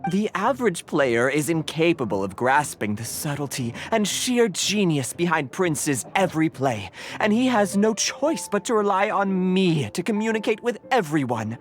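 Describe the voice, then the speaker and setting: A woman's voice: fairly low pitch, very animated, normal speed, hardly any pauses. A woman playing a reverent, formal and earnest card-game devotee who interprets for a cat in a video game. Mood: solemn, deferential. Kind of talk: scripted video game dialogue. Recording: faint music behind.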